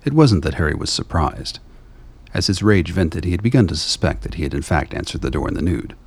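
Male drama narrator, extremely deep and raspy, scripted noir storytelling. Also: animated, brisk.

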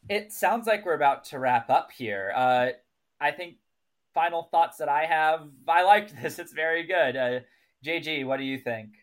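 A man's voice: on the high side, expressive, moderate pace. A man co-hosting a podcast, talking through his analysis casually and thoughtfully, often hesitating and rephrasing. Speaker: podcast co-host.

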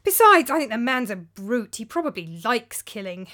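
A woman's voice: on the high side, sing-song, normal speed, pushed and bright. Woman doing an anxious, urgent young man. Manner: urgent, pleading, earnest.

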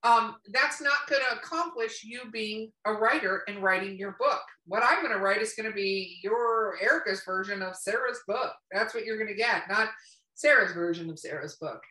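A woman's voice: medium pitch, normal speed, sharp tone. A woman co-hosting a casual chat in a blunt, emphatic way, repeating herself for emphasis, recorded roomy and distant from the mic. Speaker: podcast co-host.

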